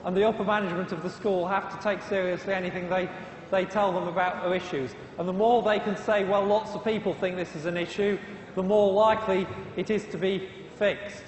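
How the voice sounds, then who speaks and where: Brisk informal man lecturing students, recorded in a big echoing hall. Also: high-pitched, steady tone. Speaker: university lecturer. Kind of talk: classroom lecture. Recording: echoey hall reverb.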